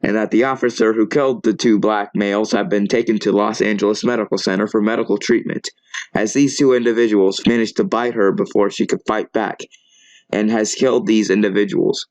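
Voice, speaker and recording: A man's voice: lowish voice, fairly even intonation, brisk, darkish tone, no echo, natural voice, low-quality recording. A man playing a composed, earnest local news anchor reading a scripted broadcast. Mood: composed, serious.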